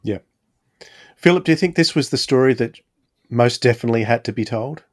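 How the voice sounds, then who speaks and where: A man's voice: mid-range voice, expressive, brisk, no echo, studio quality. A middle-aged man co-hosting a podcast, chatting casually and putting questions to others. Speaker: podcast co-host. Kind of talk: casual discussion and interviewing.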